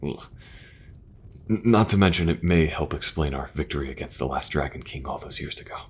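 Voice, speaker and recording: Very deep-voiced man playing a gentle king. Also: fairly quick, slightly rough. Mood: warm. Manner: gentle, soft-spoken, faltering at awkward moments. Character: earnest young adult king and brother. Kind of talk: audio roleplay acting.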